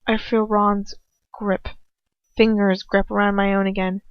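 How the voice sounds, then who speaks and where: A woman narrates a first-person story with dramatic feeling at a medium pitch. The sound is dry and a little dull, like a homemade lo-fi audiobook. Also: little pitch movement, a little slow, clear, resonant tone, dry recording. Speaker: amateur audiobook reader. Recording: dull, lo-fi close recording.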